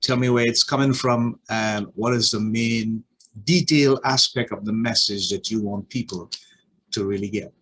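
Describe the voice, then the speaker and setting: A man hosting a podcast, asking his guest relaxed, open questions. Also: fairly low pitch, moderate pace, low-quality recording. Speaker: podcast host. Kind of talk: casual interview chat.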